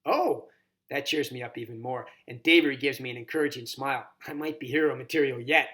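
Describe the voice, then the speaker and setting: Male volunteer reader, mid-pitched, expressive first-person narration, children's audiobook. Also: fast.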